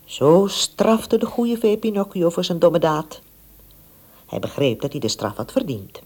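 A woman with a breathy voice narrating a scripted children's story. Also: fairly low pitch, a little slow, a little mellow.

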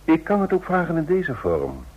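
An actor playing a shrewd, easygoing president in an old radio drama, speaking formally and deliberately. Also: male voice, a little high, wildly swooping pitch, husky, heavily acted. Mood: probing, composed. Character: shrewd, easygoing president of a large federation, a man. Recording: muffled old radio play recording.

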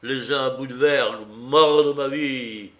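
A man's voice: medium pitch, unhurried, fairly bright tone, natural voice. A man voicing an old one-legged pirate captain who roars threats and commands his crew. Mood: menacing.

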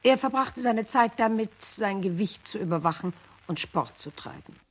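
Husky, lively-voiced ex-wife. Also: female voice, mid-range voice, normal speed. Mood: fond. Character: divorced ex-wife giving testimony, once proud of him. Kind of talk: radio play testimony.

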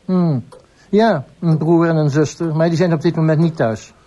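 An actor playing a guarded, formal gentleman from a well-to-do family in a radio drama, politely reserved and clipped. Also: fairly high pitch, moderate pace, muffled recording, close to an everyday voice. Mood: guarded, curt.

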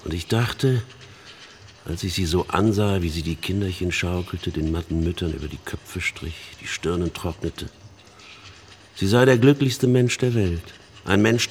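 An actor playing an embittered middle-aged man telling a haunted story in halting, emphatic phrases in a radio play. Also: sits low, gravelly. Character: embittered older man haunted by his missionary sister's death. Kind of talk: dramatic monologue in a German radio play.

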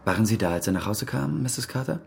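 A probing male detective in a radio crime play. His voice is gravelly and strongly rasping, and he puts formal, insistent questions at a quick clip. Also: fairly low pitch, lively intonation, darkish tone, heavily acted. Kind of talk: radio crime drama acting.

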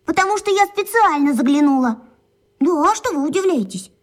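A woman voicing a lively, curious and kind-hearted schoolgirl in a children's radio play, chattering in a childlike way. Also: sits high, a little fast. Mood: explaining. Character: lively inquisitive schoolgirl Katya, tenderhearted.

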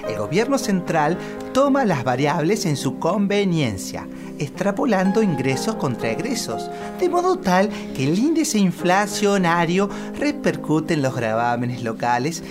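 A woman as a pompous, lively Argentine politician. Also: lowish voice, measured pace, over music. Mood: pompous, firm. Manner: pompous, long-winded technical jargon. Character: self-important, jargon-spouting male politician named Ernesto. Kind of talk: political satire radio sketch.

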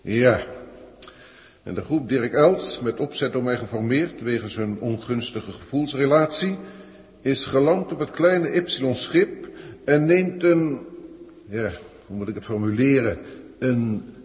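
A man with a Netherlands Dutch accent playing a pompous, exasperated senior alien official in a 1960s radio play, speaking formally and pedantically with deliberate hesitations. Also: medium pitch, natural voice. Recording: old radio recording, long hall reverb.

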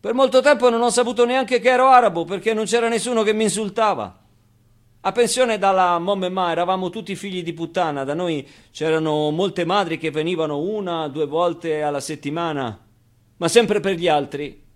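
Theatre monologue: male actor as wry, tender orphan boy, higher-pitched voice, confiding and conversational. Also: a little fast, natural voice. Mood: animated, confiding. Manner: conversational, hesitant interjections, emphatic repetitions. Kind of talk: theatrical monologue, intimate storytelling.

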